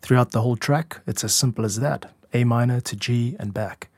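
A man's voice: medium pitch, a little fast, a little mellow, husky. A man giving an informal, instructive tutorial as a music producer and podcast host. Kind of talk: explanatory tutorial narration.

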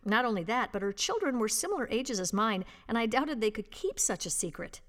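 A woman reading a written personal piece aloud as scripted narration, with a measured, expressive storytelling delivery. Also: on the high side. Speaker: volunteer reader and host of an audio reading service.

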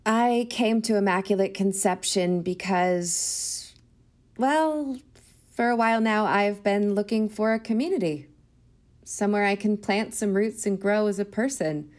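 An actress playing a young new teacher in an audio play, hesitant, earnest and eager to please. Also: female voice, mid-range voice, unhurried, darkish tone, lightly acted. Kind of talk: naturalistic audio drama acting.

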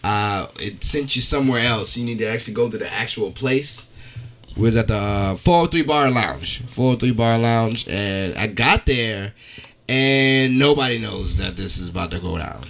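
A man's voice: on the low side, moderate pace, a bit bright. A male podcast co-host telling casual comic stories, rambling along and acting out conversations.

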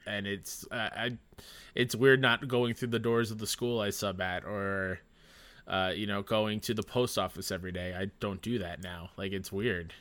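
Bright-voiced male podcast host. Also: fairly low pitch, fairly even intonation, normal speed. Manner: friendly, hesitant questions with fillers. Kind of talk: casual interview.

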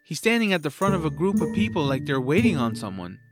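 Man playing an animated, curious young boy hero. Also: a little high, fast talker, over loud music. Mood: surprised, eager. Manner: eager, self-narrating. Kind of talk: family audio drama acting.

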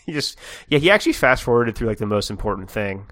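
A man's voice: lowish voice, lively intonation, fast talker, a bit bright, no room sound, clean studio sound. A man co-hosting a podcast, reacting in casual, jokey chat and speculating aloud. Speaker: podcast co-host.

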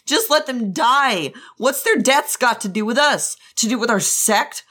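A woman's voice: mid-range voice, sing-song, a little slow, bright, forceful tone, full character voice. A woman voicing a proud, bitter teenage boy maddened by grief, hurling angry accusing questions as she reads a novel's dialogue. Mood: furious grief. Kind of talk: dramatic reading of a novel's dialogue.

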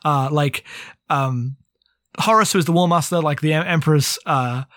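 A man co-hosting a podcast, chatting casually about a book with rambling, halting explanations. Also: a little high, a bit bright, no room sound, studio quality. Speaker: podcast co-host.

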